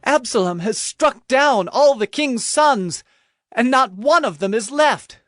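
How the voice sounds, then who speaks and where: A woman's voice: a little high, animated, slow, dry recording, soft background music. A woman playing a messenger who brings dreadful news in a dramatized audio Bible. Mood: grave alarm.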